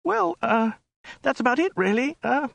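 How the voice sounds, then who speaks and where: Comic audiobook role: pitiful captive woman, very high yelping wail , performed by a man in falsetto. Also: lively intonation, vocal fry, fairly bright tone, no echo, heavily acted. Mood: nervous. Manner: halting, wailing, whimpering. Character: sobbing, terrified captive woman, shrill and pathetic.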